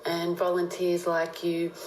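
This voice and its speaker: A woman's voice: lowish voice, completely flat delivery, a little slow. A woman musician giving a short recorded message of congratulations and thanks. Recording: echoey room with faint noise.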